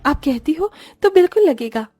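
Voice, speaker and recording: A woman voicing a gentle, patient young daughter-in-law with a sweet, respectful, mild way of talking. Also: high-pitched, animated, normal speed, dry recording, faint music behind, voice pushed up. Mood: delighted.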